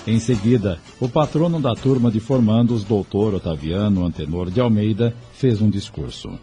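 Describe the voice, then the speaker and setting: A man's voice: fairly low pitch, animated, moderate pace, dark tone. A man narrating an audio novel in a scripted storytelling style. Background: music.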